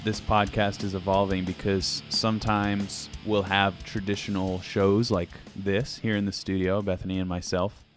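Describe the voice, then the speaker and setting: Low-voiced playful male podcast host. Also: flat delivery, soft background music. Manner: playful, jokey, drawn-out emphasis. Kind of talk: casual chat with an ad read. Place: home studio. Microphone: studio microphone. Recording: dry close studio mic.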